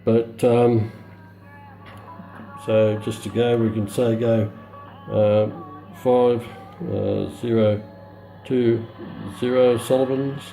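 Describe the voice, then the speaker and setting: A middle-aged man giving an unscripted product demonstration in a halting, matter-of-fact way. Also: medium pitch, flat delivery, gravelly, mellow, roomy sound, soft background music, poor audio. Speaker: presenter of a radio review. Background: shortwave radio broadcast playing.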